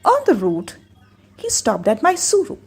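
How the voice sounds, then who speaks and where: A woman with an Indian accent telling a folk tale in expressive scripted narration. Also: high voice, unhurried, breathy edge. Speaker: storyteller.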